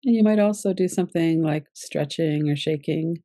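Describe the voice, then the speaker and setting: A woman teaching yoga nidra, leading a guided relaxation meditation in a calm, gentle, unhurried way. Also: low voice, no echo, studio quality.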